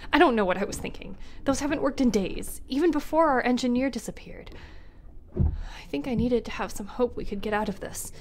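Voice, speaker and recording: A woman's voice: fairly high pitch, a little mellow, slight background noise, natural voice. An actress playing a female ship captain recording her last log entries, frightened and dropping into whispers. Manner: frightened, breaking into whispers and cries. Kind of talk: audio drama acting.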